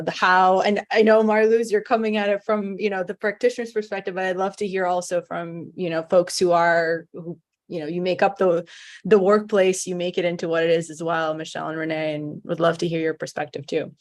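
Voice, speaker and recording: A woman moderates a conference panel in a friendly, conversational way. Her voice sits a little low. The sound is dry and close. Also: steady tone, brisk, no echo.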